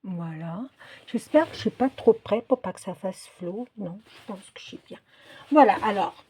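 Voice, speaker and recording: A woman's voice: mid-range voice, expressive, moderate pace, warm tone, slight background noise. A middle-aged or older woman chatting casually in a craft vlog, hesitant and often searching for her words. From Portuguese descent. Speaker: craft video creator.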